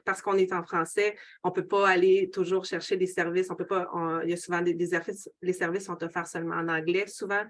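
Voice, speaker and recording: A woman, an occupational therapist answering a panel question in halting speech. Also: lowish voice. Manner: halting, repeats words.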